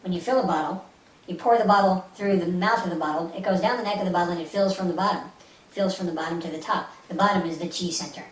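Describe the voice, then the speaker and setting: A woman's voice: fairly low pitch, fast. A woman leading a guided meditation as an instructor, speaking in a soothing, instructive way with short repeated phrases. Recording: roomy, distant mic with faint hiss.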